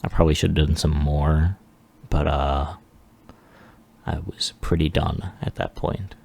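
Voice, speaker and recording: Low, creaky-voiced man rambling through a demo. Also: fairly even intonation, a little slow, a little mellow. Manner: rambling, self-deprecating, chuckles at own remarks. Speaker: 3D artist presenting a demo. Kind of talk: casual unscripted screen-recording walkthrough.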